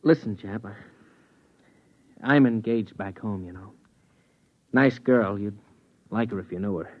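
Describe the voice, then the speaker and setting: An actor playing an anxious, pleading crewman on a moon expedition, speaking haltingly in a 1950s radio drama. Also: lowish voice. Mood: sheepish, hesitant. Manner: halting, hesitant. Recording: thin, phone-like 1950s radio recording.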